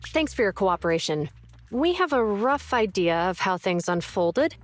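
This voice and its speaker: An actress playing an earnest, by-the-book young police officer in a game, speaking briskly. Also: female voice, medium pitch, sing-song, soft background music, lightly acted. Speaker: public security officer, Zhu Yuan.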